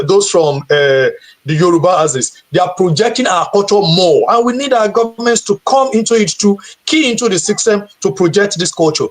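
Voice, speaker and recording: A man from Nigeria, a guide and teacher, answering questions earnestly on a video call, often repeating words as he searches for them. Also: sits high. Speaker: guest tour guide and teacher. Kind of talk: live stream interview answer.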